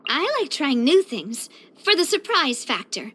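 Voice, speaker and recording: High-voiced, cheerful young lady. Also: a little slow, full character voice. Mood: matter-of-fact. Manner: bright, earnest, expressive, chatty. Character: cheerful, elegant young woman leading a syndicate. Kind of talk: video game character voice lines.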